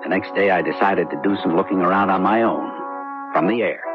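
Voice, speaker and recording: An actor playing a calm, plainspoken male sheriff narrating his case, in a 1970s radio drama. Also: sits low, moderate pace, lightly acted. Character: steady small-town male sheriff narrating. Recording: lo-fi old radio broadcast with music.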